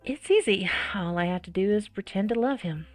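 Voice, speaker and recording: A woman reading a visual novel aloud as a let's-player, expressive and dramatic with reactive asides. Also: medium pitch, a little fast. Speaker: video game let's-player. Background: game music.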